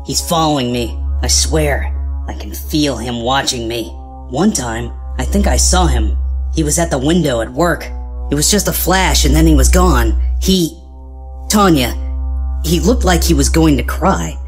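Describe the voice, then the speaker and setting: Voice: mid-range voice, lively intonation, unhurried, soft background music, lightly acted. An actor playing an anxious, exasperated young woman in a dramatized comic, confiding in an agitated way. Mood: uneasy, wistful.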